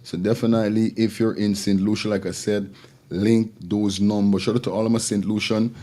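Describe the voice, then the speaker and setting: Male live show host, Caribbean accent, low mellow voice, loose slangy repetitive chat. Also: level tone, fairly quick. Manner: informal, repetitive, slangy patter. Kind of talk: casual live-stream talk with shout-outs.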